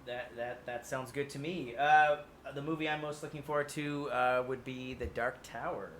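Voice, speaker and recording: A man's voice: medium pitch, steady tone, moderate pace, fairly bright tone. A man speaking as a podcast guest, chatting casually about films. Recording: faint background noise.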